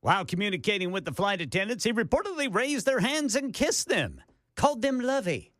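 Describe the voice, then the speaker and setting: Male talk radio co-host, high bright voice, dry studio sound. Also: fairly quick, no room sound. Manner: animated, puts on voices for quotes. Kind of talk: reading news stories on talk radio.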